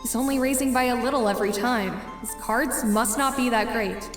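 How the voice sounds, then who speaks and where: Woman doing a high-pitched anxious teen girl, competitive, thinking out loud in a web comic dub, roomy sound. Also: lightly acted. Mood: calculating, tense. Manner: deliberate, musing to self. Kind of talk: webcomic fan dub acting with inner monologue. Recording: roomy voice with music behind.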